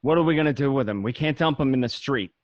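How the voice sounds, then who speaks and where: A man's voice: medium pitch, fast talker, darkish tone, dry recording, natural voice. A man acting an anxious, placating gangster who keeps the peace, in an amateur radio play. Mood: worried. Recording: dull lo-fi home recording.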